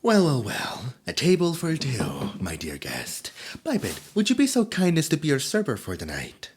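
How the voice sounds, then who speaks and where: A man's voice: mid-range voice, huge pitch swings, moderate pace, background noise. A man voicing a nervous, lonely demon host who stammers and giggles through an audio roleplay. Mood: excited, chatty.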